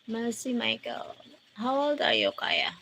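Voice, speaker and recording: A woman in her forties from the Philippines, hosting a casual livestream and slowly greeting viewers by name as she answers their comments. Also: a little high, wildly swooping pitch, vocal fry, bright, forceful tone, slight background noise. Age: 40s. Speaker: livestream host. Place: countryside outdoors at night.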